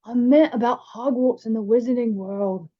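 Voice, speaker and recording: A woman's voice: fairly high pitch, normal speed, pitched above the performer's own voice, natural voice. A woman voicing a big, studious young boy in a storybook, serious and eager to learn. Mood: earnest, curious. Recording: slightly dull, lo-fi sound.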